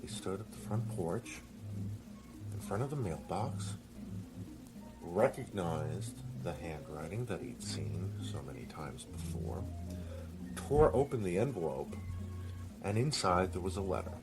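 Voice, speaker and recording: A man telling a story in an informal, conversational way, halting and pausing mid-sentence. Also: low voice, big pitch swings, rough recording. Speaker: storyteller reading an original story. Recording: music playing behind the voice. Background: music.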